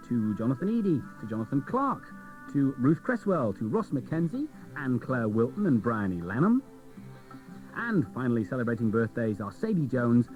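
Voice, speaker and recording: A man's voice: mid-range voice, a little mellow. A man presenting a children's radio show, chatting directly to the listeners. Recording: dull old cassette radio recording.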